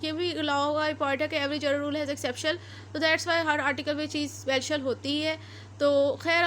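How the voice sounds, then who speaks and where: A woman with a Pakistani accent speaks in a very high voice, teaching in a didactic way. She explains step by step and restates each point, moving between her own explanation and read-out English passages. There is faint noise behind her. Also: fairly bright tone. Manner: didactic, repeats and rephrases points. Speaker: law lecturer. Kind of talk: explanatory lecture mixing Urdu with read-out English statutes.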